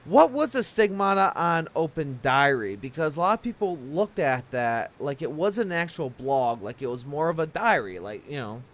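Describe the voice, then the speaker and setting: A man with a slightly high voice interviews in a casual, loose style. He rambles through his thoughts and questions informally, like an amateur host talking things over with a guest. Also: very animated, normal speed, few pauses, fairly bright tone. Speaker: interviewer, talk show host.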